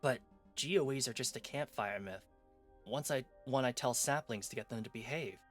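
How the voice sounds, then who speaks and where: An eager young hero talks quickly and hopefully, his voice lifted a little above plain speech, as a man reads him. Faint music hums in the background. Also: medium pitch, close to an everyday voice.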